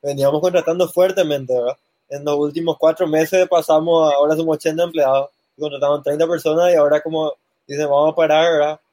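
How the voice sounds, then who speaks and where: Fast-talking, mellow man. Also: fairly high pitch, fairly even intonation, dry recording. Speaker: panelist. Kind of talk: casual chat, recounting experience.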